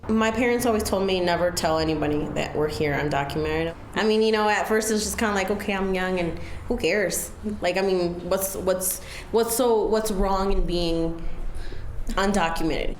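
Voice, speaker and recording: A woman's voice: mid-range voice, normal speed. A young woman from the United States talking casually in an interview, full of "like" and "you know". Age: young adult. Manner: chatty, many "like" fillers. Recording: roomy echo, faint noise.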